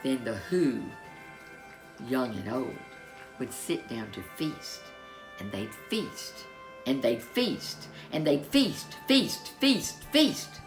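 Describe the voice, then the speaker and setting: A woman with a low voice reads a children's story in a theatrical, emphatic way. She takes her time with the phrases. Music plays softly behind her. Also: very animated, takes it slowly, low-quality recording. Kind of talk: dramatic children's storybook reading.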